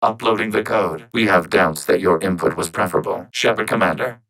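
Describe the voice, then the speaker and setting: A man voicing a calm, logical networked robot intelligence in a video game, speaking in formal, precise, stilted phrases through synthetic processing. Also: low-pitched, a little fast, slight background noise. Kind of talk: scripted video game character dialogue.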